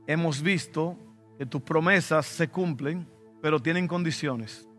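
A man's voice: a little high, measured pace, a bit bright, soft background music. A male pastor with a Dominican Spanish accent, preaching a conversational sermon with personal stories and sudden emphatic bursts. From Dominican Republic.

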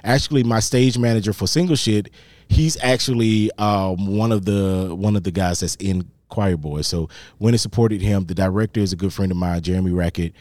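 A man's voice: low voice, normal speed, a little mellow, dry recording. A man in his thirties, an acting coach, chatting casually and joking on a podcast. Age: 30s. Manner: laid-back, joking, says bro a lot.

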